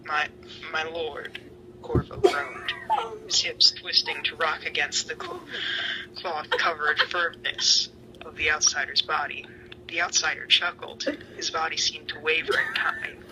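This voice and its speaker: A man giving a dramatic, breathy reading of fan fiction, with occasional stumbles. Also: fairly high pitch, background noise.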